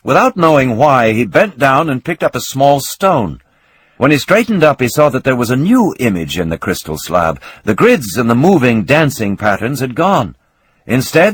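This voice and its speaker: Husky, expressive male narrator. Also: mid-range voice. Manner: expressive, dramatic reading with swelling emphasis. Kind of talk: scripted narration of a novel.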